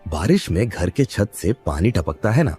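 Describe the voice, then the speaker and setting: A man's voice: sits low, very animated, normal speed, warm tone, breathy edge, soft background music, exaggerated voice. A man playing a poor, earnest village labourer in a cartoon moral story, with a pleading, trusting manner. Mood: grateful.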